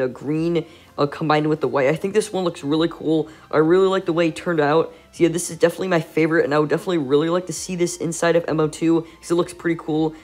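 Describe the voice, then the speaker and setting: A young man records a relaxed gaming voice-over at home, his nose plainly blocked so every word comes out nasal. He rambles loosely, pausing on "uh" between thoughts, like a channel regular chatting to his viewers. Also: on the high side. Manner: rambling with frequent "uh" fillers. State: congested, stuffy nose. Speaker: gaming YouTuber. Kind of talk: casual gameplay voice-over.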